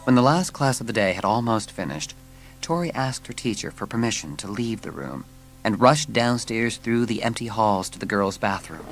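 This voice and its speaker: A woman's voice: very deep voice, expressive, moderate pace, music in the background, rough recording. A woman reading scripted third-person narration for a dramatised audiobook.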